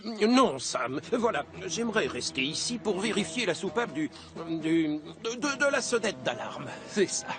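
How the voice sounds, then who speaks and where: Vieux chef pompier exubérant, aigu. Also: male voice, sits high, sing-song, moderate pace, hardly any pauses, over music. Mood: flustered, evasive. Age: older. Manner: blustering, stammering, theatrical. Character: excitable, nostalgic, blustering old male fire chief. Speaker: fire chief. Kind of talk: animated children's cartoon dub.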